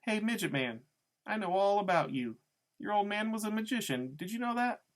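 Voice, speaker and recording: A man's voice: high voice, lively intonation, moderate pace, pitched above the performer's own voice. A man voicing a giggly, mocking, slangy tough woman in a story reading. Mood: taunting. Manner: teasing, taunting, slangy. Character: giggly, mocking, needling tough woman who drinks beer.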